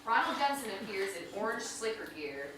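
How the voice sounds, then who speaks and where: A woman reads stage directions in a bright, forceful voice. She is heard at a distance in a very echoey room, with faint noise. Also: fairly high pitch, very animated, a little fast. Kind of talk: scripted narration. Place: indoor venue, staged reading. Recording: very echoey room from far off, noisy.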